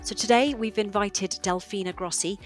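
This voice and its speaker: A woman's voice: medium pitch, steady tone, normal speed, soft background music. A woman hosting a podcast, opening the show and asking questions in an upbeat, encouraging way. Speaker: podcast host. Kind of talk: podcast hosting, scripted intro then chat.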